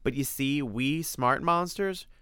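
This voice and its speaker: A big ugly storybook monster, acted out by a man, good-natured and chatty. He speaks in folksy, mock-grand flourishes, eager to explain, with a clear voice recorded close and dry. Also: mid-range voice, takes it slowly, sharp tone, no echo, pitched above the performer's own voice.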